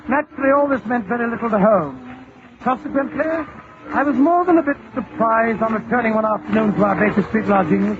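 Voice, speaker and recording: An actor playing a genial older English doctor narrating a 1940s radio drama. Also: male voice, high voice, fairly quick, natural voice. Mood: reminiscing. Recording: noisy, muffled old radio recording.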